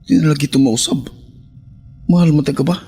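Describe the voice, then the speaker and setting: A man's voice: on the high side, a little fast, vocal fry, mellow, breathy edge. A man with a Cebuano accent acting an irresponsible, pleading husband who coaxes and whines in a radio drama. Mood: coaxing. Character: irresponsible, wheedling husband, always broke and pleading.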